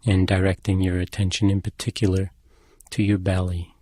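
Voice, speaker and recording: A man leading a guided meditation as an instructor, speaking in a calm, measured and gently instructing manner. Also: low-pitched, no room sound.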